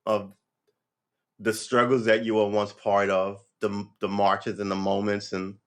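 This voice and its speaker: A man in his fifties with a somewhat low voice, talking in a loose, reflective monologue. He restarts often and leans on "you know" and "right?". When he gets animated his pitch jumps up sharply. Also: measured pace. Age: 50s. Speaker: vlogger. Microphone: livestream setup.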